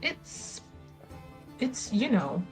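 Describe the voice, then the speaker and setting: Female tabletop player: unhurried, halting, improvised in-character talk over light music. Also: fairly high pitch, big pitch swings. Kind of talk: improvised in-character roleplay with casual asides.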